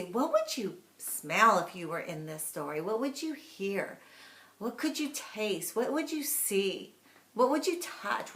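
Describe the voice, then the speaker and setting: A woman speaking as a teacher, giving instructional tips to parents in an animated, emphatic manner. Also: fairly high pitch. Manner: animated, emphatic, acts out example questions. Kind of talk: instructional talk to camera. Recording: echoey room sound.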